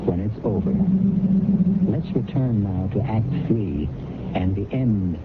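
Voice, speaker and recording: Through loud static and a thin, phone-like band comes a macabre radio mystery host. He speaks theatrically and very slowly, savoring every dreadful word. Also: lowish voice. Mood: ominous. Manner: theatrical, deliberate. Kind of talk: 1970s-style radio mystery theatre narration. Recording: very noisy, phone-like old radio recording.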